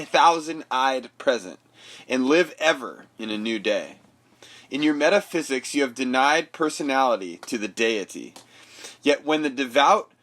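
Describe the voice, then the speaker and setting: Man, mid-pitched, thoughtful voice-memo delivery, musing and reading aloud. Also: a bit bright. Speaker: speaker recording a reflective voice memo. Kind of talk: casual reflection, then reading an essay aloud.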